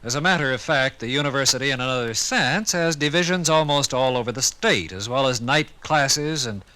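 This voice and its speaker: A man's voice: medium pitch, brisk, pushed and bright. A man explaining things in an emphatic, professorial way, in scripted 1950s radio dialogue. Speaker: learned narrator answering questions.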